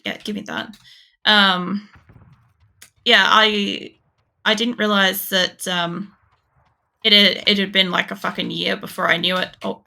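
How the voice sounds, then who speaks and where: Gravelly bright-voiced Australian woman. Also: mid-range voice. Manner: rambling, frequent fillers and swearing. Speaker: game streamer. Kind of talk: casual gaming commentary chatting with viewers.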